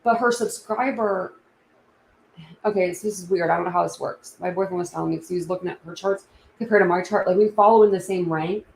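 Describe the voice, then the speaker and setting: A woman's voice: lowish voice, brisk, creaky, echoey room, slight background noise, low-quality recording. A young woman hosting a casual true crime livestream, rambling and chatty with many restarts. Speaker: YouTube true crime channel host.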